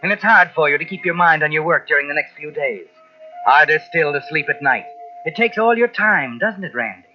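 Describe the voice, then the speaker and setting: A man acting as a knowing, ominous narrator of a 1940s radio thriller. He speaks to a guilty man with deliberate, insinuating menace. Music plays behind him on a dull old recording. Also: on the high side, lively intonation, close to an everyday voice. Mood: taunting.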